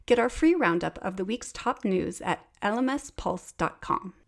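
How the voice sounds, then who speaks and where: A woman reading a scripted sponsor message in a smooth, even promotional delivery. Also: mid-range voice, moderate pace. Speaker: sponsor announcer. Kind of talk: scripted ad read.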